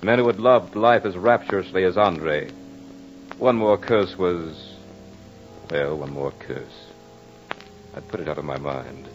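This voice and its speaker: An actor playing a proud, battle-hardened soldier in old radio horror drama, with formal, theatrical diction. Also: male voice, low voice, a little slow, long pauses. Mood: brooding, remorseful. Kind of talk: 1940s-50s radio horror drama acting. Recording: muffled old-time radio recording with music.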